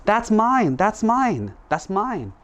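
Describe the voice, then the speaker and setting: A man's voice: fairly high pitch, lively intonation, slow pace. A man voicing a poor, honest woodcutter in a storybook tale, earnest and plaintive. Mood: excited.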